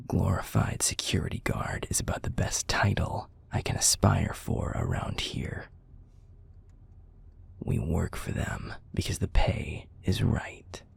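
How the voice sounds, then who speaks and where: Man as a gravelly, menacing werewolf enforcer. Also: low voice, heavily acted. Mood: bitter, cynical. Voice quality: gravelly, husky, breathy. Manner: gruff, profane, confrontational. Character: bitter, menacing male werewolf pack enforcer, jaded and cynical. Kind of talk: scripted audio roleplay acting.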